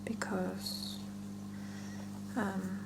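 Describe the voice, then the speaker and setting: A woman whispering softly in an ASMR roleplay as a salon scalp therapist, chatting and explaining gently and without hurry. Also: medium pitch, flat delivery, very slow, heavy background noise. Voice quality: soft breathy whisper with creaky edges.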